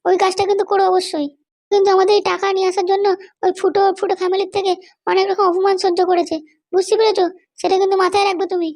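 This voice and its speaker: A man voicing a bossy, short-tempered middle-aged cartoon wife with a West Bengal accent and a pitched-up voice. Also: little pitch movement, racing, darkish tone, dry recording, clean studio sound. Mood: insistent. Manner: scolding, threatening. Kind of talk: comic cartoon dialogue.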